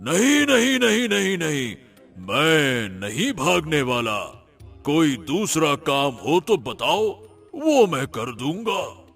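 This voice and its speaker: A man playing a boastful, menacing but gullible demon in a cartoon story, with a raspy voice and blustering delivery. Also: on the high side, sing-song, fairly bright tone, exaggerated voice. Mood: wary, boastful. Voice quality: raspy, gravelly. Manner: slow, drawn-out, blustering threats with gloating laughter. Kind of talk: exaggerated Hindi cartoon story acting. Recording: music behind the voice.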